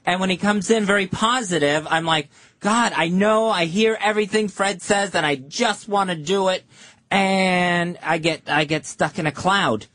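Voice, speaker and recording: A man's voice: high-pitched, normal speed, fairly bright tone, dry recording. A man co-hosting live talk radio in a studio, meek and hesitant, often rambling as he answers. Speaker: radio co-host.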